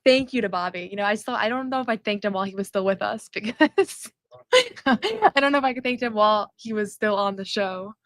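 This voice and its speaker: A woman's voice: mid-range voice, lively intonation, a little fast, a bit bright, no echo, clean studio sound. Female host, casual postgame chat, rambly with lots of ums. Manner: chatty, rambling with frequent fillers. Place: arena concourse at a summer league event.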